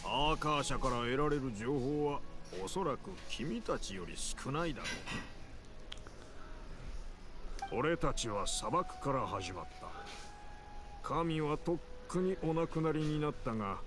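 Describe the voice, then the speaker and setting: An actor playing a worldly, nonchalant mercenary in a Japanese video game, casual and rough-spoken as he explains things. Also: on the high side, animated, moderate pace, close to an everyday voice. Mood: matter-of-fact. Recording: game soundtrack music behind voice.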